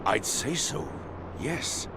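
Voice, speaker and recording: An actor playing a crude, earthy older veteran patrolman in a fantasy game cutscene, grumbling in a creaky voice. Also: mid-range voice, expressive, slow, noisy background. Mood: alarmed.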